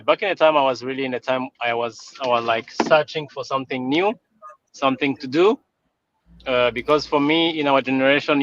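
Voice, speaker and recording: A man's voice: mid-range voice, a bit bright, no echo, dull audio. A man in his thirties from Rwanda whose first language is French, speaking as a business coach in a live interview, hesitant and repeating words. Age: 30s. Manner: hesitant, repeats words, slight grammar slips.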